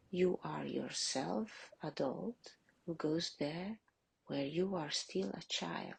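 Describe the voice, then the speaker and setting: A woman guides a meditative visualisation in a low, hushed voice with a creaky grain. She speaks extremely slowly and deliberately, leaving long breathy pauses between short phrases.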